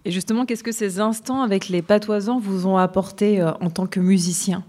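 Woman, live radio host, clear and direct introductions. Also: mid-range voice, fairly even intonation, brisk, dark tone.

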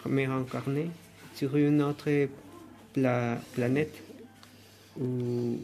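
A man from Mexico with a Mexican accent, a native Spanish speaker, speaking haltingly and repeating words as he composes aloud. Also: mid-range voice, level tone, mellow.

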